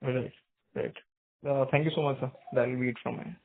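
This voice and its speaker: A man asking questions on a business call in a halting, polite way. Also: mid-range voice, fairly even intonation, vocal fry, no echo. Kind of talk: spontaneous questioning on an earnings call. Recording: dry narrowband phone line.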